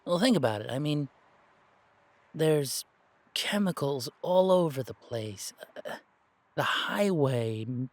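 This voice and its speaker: A man playing an earnest, anxious young werewolf who thinks aloud haltingly in an audio roleplay. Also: a little high, no room sound. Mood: puzzled, thoughtful. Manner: hesitant, rambling, halting.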